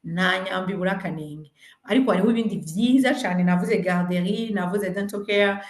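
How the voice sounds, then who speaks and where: A woman's voice: medium pitch, moderate pace, echoey room. Earnest woman candidate on a video call. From Burundi. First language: French. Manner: earnest, mixing languages, persuasive. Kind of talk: virtual community meeting, answering questions.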